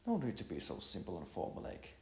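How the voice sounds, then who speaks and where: Man reading as friendly young woman, halting informal lines, creaky voice, roomy echo. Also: sits low, huge pitch swings, full character voice. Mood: gently teasing. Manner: informal, gently teasing, halting. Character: friendly, teasing young woman welcoming a guest. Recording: roomy echo with music behind.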